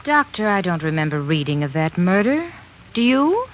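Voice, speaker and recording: A woman's voice: on the low side, big pitch swings, moderate pace, slight background noise. An actress playing an anxious young woman who presses a doctor with urgent questions, in 1940s radio drama style. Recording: muffled 1940s radio broadcast.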